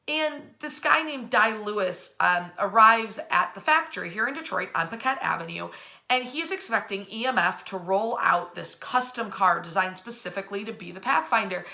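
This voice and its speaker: A middle-aged American woman telling history in a casual, animated way, speaking in a bedroom to a camera a short distance away. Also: mid-range voice, normal speed, creaky. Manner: animated storyteller, acting out quotes in slangy asides. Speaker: history storyteller and host. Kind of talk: casual, informal history storytelling. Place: indoors in a bedroom.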